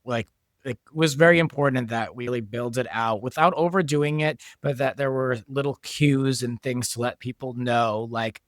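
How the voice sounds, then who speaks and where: A man's voice: medium pitch, moderate pace, dry recording, studio quality. A man being interviewed as a producer and director, explaining things in a conversational way. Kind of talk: interview talk.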